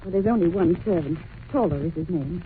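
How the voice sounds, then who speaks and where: A woman's voice: on the low side, a little fast, natural voice. A noisy, narrow-band vintage radio recording carries an actress as a young governess. She is well-mannered but anxious and curious, and speaks with earnest, theatrical expression. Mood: earnest, uneasy. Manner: polite, earnest, expressive storytelling. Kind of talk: old-time radio drama acting.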